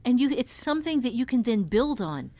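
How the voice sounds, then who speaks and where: A woman's voice: fairly high pitch, very animated, a little fast, warm tone. A woman author and writing teacher, a podcast guest, giving practical, encouraging advice in casual conversation.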